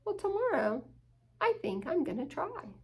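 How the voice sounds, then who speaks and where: A woman voicing a curious, hopeful little male dog who is polite, determined and softly questioning in a storybook. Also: very high-pitched, big pitch swings, normal speed, voice pushed up, heavily acted.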